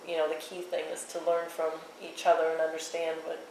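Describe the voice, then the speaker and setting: A woman's voice: sits low, completely flat delivery, brisk, darkish tone. A woman hosting a round-table talk, earnest and appreciative as she sums up the discussion. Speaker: host. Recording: very echoey room, mic far off, faint noise.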